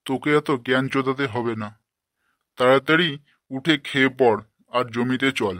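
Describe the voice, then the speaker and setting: A man doing a gruff, scolding village father. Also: medium pitch, normal speed, fairly bright tone, no room sound, clean studio sound, lightly acted. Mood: angry scolding. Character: foul-mouthed, short-tempered village father and husband. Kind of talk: comic cartoon dubbing.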